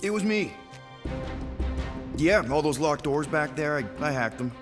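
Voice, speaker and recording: A man's voice: on the high side, lively intonation, unhurried. An actor playing a glib, wisecracking male space adventurer in a cinematic video game, given to joking and rambling. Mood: glib, bluffing. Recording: music behind the voice.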